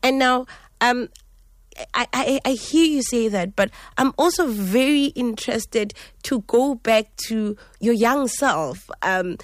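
A woman hosting a radio talk show, reading a measured introduction with fillers and restarts before turning to her guest. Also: fairly high pitch, expressive, no echo, clean studio sound. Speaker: radio host.

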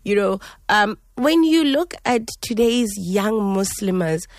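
A woman's voice: mid-range voice, animated, slow, no echo, studio quality. A woman hosting a radio talk show, speaking earnestly with frequent fillers. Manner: earnest, many fillers and restarts. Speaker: radio host.

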